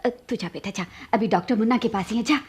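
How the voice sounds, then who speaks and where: A woman playing an exasperated older wife and mother in a radio play, husky, with an impatient, nagging manner. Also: on the high side, quick pace, darkish tone. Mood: scolding. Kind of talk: Hindi radio play acting.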